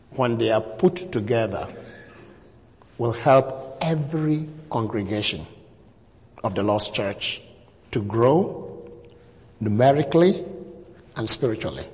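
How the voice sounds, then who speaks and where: A Ghanaian man in his fifties preaches to a congregation, unhurried and drawn out, with a middle-pitched voice. He stresses the key words one by one. His voice carries a long reverb through a church hall. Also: darkish tone. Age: 50s. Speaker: visiting preacher.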